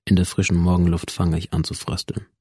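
An actor playing a bitter, cynical young man, a dead gunman living on as a simulation, in a deadpan, sardonic radio drama monologue. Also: low-pitched, brisk, slightly rough, no echo, studio quality. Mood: cynical, detached. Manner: deadpan, sardonic, crude slang.

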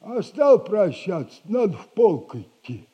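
A man's voice: high voice, big pitch swings, warm tone, lo-fi sound. An actor playing a boastful old retired soldier in a theatrical radio comedy, telling rambling, self-admiring tales in an old man's voice. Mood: gloating, mysterious. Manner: drawn-out, rambling, self-admiring storytelling with repeated catchphrase.

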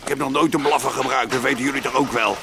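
An actor playing a rough, indignant burglar in a radio drama, stammering in coarse street slang. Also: male voice, mid-range voice, steady tone, quick pace, lightly acted. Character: rough, indignant male burglar, blustering when cornered. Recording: noisy radio drama recording.

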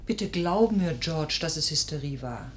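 A woman's voice: low-pitched, expressive, unhurried. A woman playing an anxious wife in a 1950s radio play, earnest and imploring. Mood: pleading. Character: anxious, pleading wife begging her husband. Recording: noisy old radio recording.

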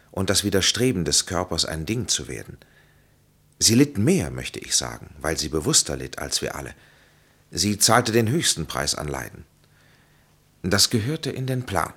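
An actor playing a grave, authoritative physician in a German radio play, speaking in measured, emphatic, formal phrases. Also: male voice, deep voice, big pitch swings, a bit bright, slightly rough, full character voice. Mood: solemn. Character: grave, authoritative physician and counsellor, solemn and consoling.

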